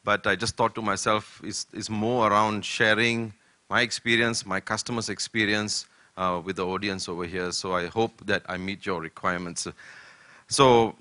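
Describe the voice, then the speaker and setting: An older man from Malaysia giving a conference keynote talk, speaking slowly and deliberately with many fillers. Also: lowish voice, steady tone, sharp tone, no echo. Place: conference hall.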